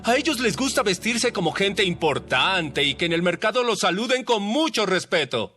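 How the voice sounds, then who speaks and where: An authoritative religious teacher, acted by a man, instructs his followers in an audio Bible drama. The male voice sits slightly high and is emphatic and didactic, over faint music. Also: very animated, moderate pace, bright, forceful tone, heavily acted. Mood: warning.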